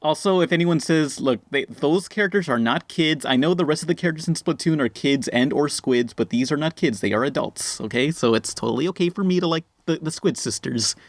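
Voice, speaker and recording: A man's voice: on the high side, expressive, a little fast, few pauses, dry recording. A man in his twenties hosting a podcast, rambling and self-mocking with lots of restarts. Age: 20s. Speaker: podcast host. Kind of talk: casual podcast banter.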